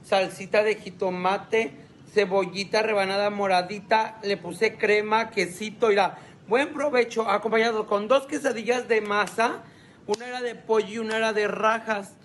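A woman's voice: medium pitch, fairly even intonation, normal speed, a little hiss or hum. A Mexican woman telling what she ate in a chatty, savoring way, full of little diminutives. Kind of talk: chatty food story.

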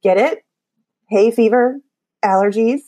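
A woman's voice: a little high, a little slow, warm tone, no room sound. A woman podcast host with an Appalachian accent, her voice scratchy from allergies, talking in an encouraging, chatty way with small jokes. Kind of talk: scripted podcast intro and casual chat.

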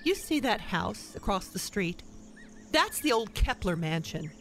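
Radio play busybody: older, folksy, opinionated, bright and very animated. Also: female voice, medium pitch, measured pace, soft background music. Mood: enthusiastic. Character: opinionated, folksy older married woman, meddling neighbor.

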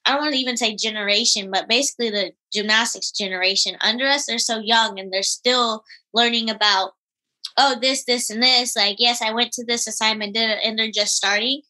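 A woman in her twenties, an elite gymnast and interview guest, talking in a relaxed, giggly way with many fillers. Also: on the high side, a little fast, rough recording. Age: 20s. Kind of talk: casual interview chat.

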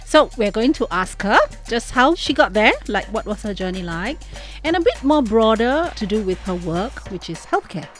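A woman's voice: a little high, sing-song, normal speed, soft background music. A woman co-hosting a podcast in a chatty, playful way. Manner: chatty, playful, laughs easily. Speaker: podcast co-host. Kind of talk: casual podcast chat.

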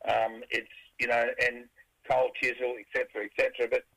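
Raspy Australian rock singer, middle-aged man. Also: mid-range voice, monotone, a little fast, bright, forceful tone, rough recording. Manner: rambling, many fillers and restarts. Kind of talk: casual interview answers.

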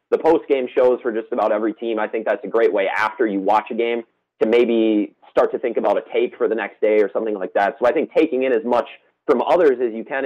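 A man's voice: mid-range voice, muffled recording. A man, a student radio host speaking as a guest in an earnest, eager way. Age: 20s. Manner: earnest, eager, quick-talking. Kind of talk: casual interview chat.

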